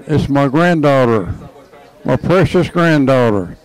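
Old man in his 90s, slow measured, smooth clear voice. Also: mid-range voice, animated, rough recording. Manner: measured, reciting his unit. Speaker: veteran.

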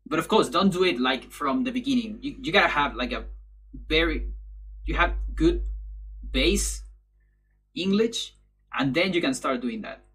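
A man's voice: a little high, lively intonation, normal speed, long pauses, a bit bright, low-quality recording. A young man whose first language is Spanish, chatting casually with stream viewers from his bedroom in rambling, self-correcting English and laughing easily. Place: bedroom, indoors.

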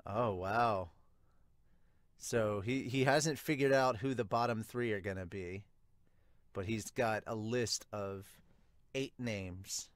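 A man's voice: medium pitch, no echo, studio quality. A man in his thirties giving casual reaction commentary as a manga reviewer, informal and exclamatory, breaking off into asides. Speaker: manga reviewer and podcast host. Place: small furnished room at home.

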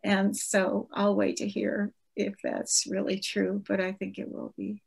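A woman in her seventies, an author, talking reflectively about her family on a video call, gentle and with frequent restarts. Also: mid-range voice, completely flat delivery, measured pace, creaky, husky, low-quality recording. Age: 70s. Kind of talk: virtual book event conversation.

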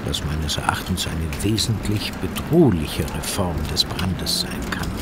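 An actor playing a self-assured, pedantic fire investigator in a radio play, explaining things in a precise, lecturing, technical way. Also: male voice, low voice, a little slow, heavy background noise. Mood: matter-of-fact, instructive. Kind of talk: German audio drama acting.

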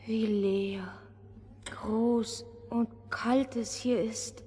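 A woman's voice: a little high, steady tone, very slow, dark tone, soft background music. A woman playing a brave, earnest little girl in a fairy-tale audio drama. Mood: awed, lost. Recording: long reverb, faint background noise.

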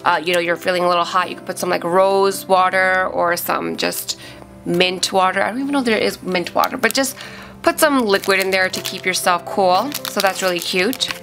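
Nasal-voiced young woman unboxing, bright tone. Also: on the low side, moderate pace, faint music behind. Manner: chatty, thinking aloud with fillers. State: sick and nasal. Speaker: unboxing vlogger. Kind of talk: casual unboxing review. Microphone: off-camera voice over hands-only tabletop shots.

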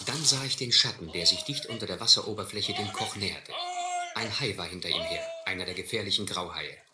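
An actor playing an educated, resigned gentleman castaway in a radio play, articulate, earnest and bitter. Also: deep voice, brisk, close to an everyday voice. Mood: grim narration. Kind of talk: German radio play narration and dialogue. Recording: roomy, faintly noisy radio play recording.

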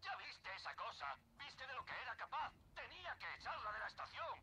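An actor playing a ruthless station lawman in a video game, forcefully justifying himself through a radio filter. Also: extremely high pitch, huge pitch swings, a little fast, exaggerated voice. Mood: urgent, defensive. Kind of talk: dubbed video game acting. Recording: noisy crackling radio link.

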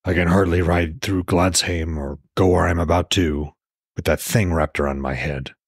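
A weary old Norse god, played by a male narrator speaks in a very low, husky voice. He is stubborn and measured, with terse lines, in a dry-sounding fantasy audiobook. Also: fairly even intonation, no room sound. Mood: firm, weary.

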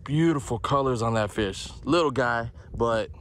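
A man's voice: medium pitch, moderate pace. Fishing vlogger, male, 40s, chatty and upbeat, handheld camera outdoors, a bit winded, faint background noise. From South Florida. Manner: chatty, self-talking, upbeat. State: out of breath from heat. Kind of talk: casual outdoor vlog. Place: outdoors by a canal and in a truck. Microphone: handheld camera at arm's length.

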